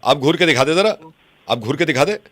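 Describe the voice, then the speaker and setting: A man's voice: a little high, lively intonation, brisk, a bit bright, no room sound. A man making prank phone calls as a radio host, badgering and repeating himself as if offended. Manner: badgering, repetitive, feigning offence.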